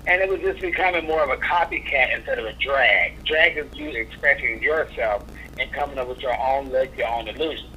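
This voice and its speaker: A man's voice: mid-range voice, a little fast, pushed and bright, a little hiss or hum. A man, a drag performer, talking as a guest in a casual interview, sassy and blunt in his opinions. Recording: dull lo-fi recording.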